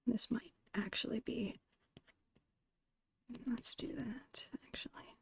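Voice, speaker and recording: A woman whispering in a breathy, creaky voice, murmuring her way step by step through an ASMR art narration. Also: fairly high pitch, steady tone. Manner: unhurried, murmured step-by-step musing. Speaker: host of an art ASMR channel.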